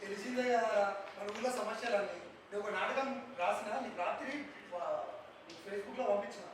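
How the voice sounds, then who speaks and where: A man's voice: sits high, moderate pace, close to an everyday voice. A man playing an eager young villager who writes a play, in a stage play. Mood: urging. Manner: urgent, rallying. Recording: echoey hall with noise, far from mic.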